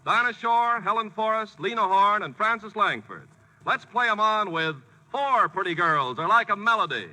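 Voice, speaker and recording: A man hosting a 1940s radio variety show in a showy, brisk master-of-ceremonies manner. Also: high voice, animated, pushed and bright, poor audio. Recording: vintage 1940s radio broadcast with music.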